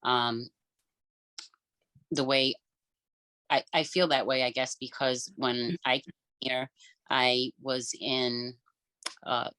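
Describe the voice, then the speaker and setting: A woman whose first language is Cape Verdean Creole talks in a casual podcast chat. Her voice is bright and sharp, and it is recorded dry and close with no room sound. She thinks aloud and pauses often. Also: a bass voice, flat delivery, no room sound, rough recording. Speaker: podcast co-host. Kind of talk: casual personal conversation.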